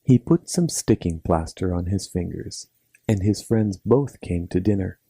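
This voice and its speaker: Very dark-voiced male storyteller. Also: deep voice, a little slow, breathy edge, no room sound. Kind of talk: scripted children's bedtime story narration.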